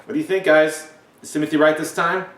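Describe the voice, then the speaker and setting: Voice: medium pitch, fast. Playful storyteller in an echoey room. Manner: animated, playful, dramatic emphasis. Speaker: read-aloud storyteller. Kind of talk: expressive picture-book reading with chatty asides. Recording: echoey room, distant mic.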